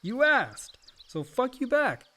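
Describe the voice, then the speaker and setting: Lively, high-voiced, frank younger brother. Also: male voice, takes it slowly, exaggerated voice. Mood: defiant, hurt. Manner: frank, profane, casual. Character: frank, hot-headed young adult younger brother, Chip. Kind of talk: naturalistic radio drama acting.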